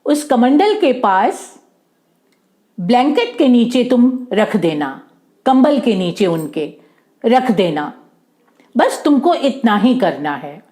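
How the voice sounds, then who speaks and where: An ancient serpent woman of storybook legend , voiced by a woman, firm and protective. She speaks very slowly at a fairly high pitch, insisting and repeating herself. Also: sing-song, mellow. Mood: instructing.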